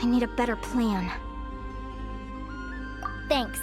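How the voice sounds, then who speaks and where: A woman voicing a determined, thoughtful young female advisor in an anime game, speaking in an earnest, expressive way. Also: fairly high pitch, slow. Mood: pensive, determined. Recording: game music under the voice. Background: game music.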